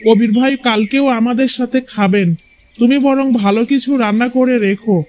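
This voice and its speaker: A man's voice: very high-pitched, fairly even intonation, brisk, darkish tone. A man voicing a chatty, opinionated husband in a cartoon folk tale, with a coaxing manner. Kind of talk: Bengali cartoon fairy-tale voice acting.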